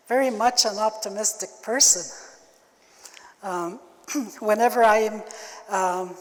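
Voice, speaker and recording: An older woman who grew up speaking Ojibwe, giving a keynote talk at a conference in a slow, halting way with many fillers. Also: medium pitch. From Canada. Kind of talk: keynote address. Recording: long hall reverb.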